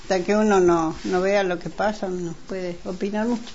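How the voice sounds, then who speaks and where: Una mujer con acento argentino interpreta a una vecina hospitalaria en un radioteatro comunitario, con charla informal. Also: female voice, mid-range voice, fairly even intonation, moderate pace, a little mellow, lightly acted. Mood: conversational. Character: hospitable neighbour woman hosting mate at home. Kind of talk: amateur community radio play, casual chat. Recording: muffled, noisy amateur recording.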